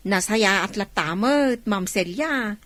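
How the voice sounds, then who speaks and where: A woman's voice: mid-range voice, lively intonation, slow pace, a bit bright, no echo. A woman playing a kindly, chatty farmhouse housekeeper in an audio drama. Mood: friendly, chatty.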